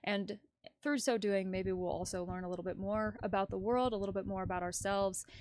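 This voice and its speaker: A woman's voice: medium pitch, steady tone, a little fast, a little mellow, no echo. A woman hosting a casual livestream news commentary, chatty and rambling as she thinks aloud. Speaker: livestream host.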